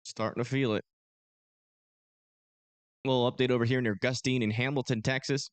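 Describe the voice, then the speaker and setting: A man with a tired, hoarse voice giving loose, rambling radar commentary on a live stream. He has an ordinary mid pitch, and he sits close to the microphone in a dry, dead-sounding space. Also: fairly even intonation, moderate pace, no echo. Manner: casual, rambling, self-deprecating. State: hoarse, strained voice. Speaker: storm-chasing livestream host. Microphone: close desk microphone.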